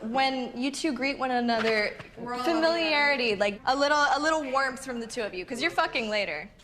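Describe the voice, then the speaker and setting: A woman's voice: sits high, lively intonation, a little fast, a crowd in the background. A woman casually giving instructions to performers on a film set, heard under music. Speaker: film crew member directing performers on set.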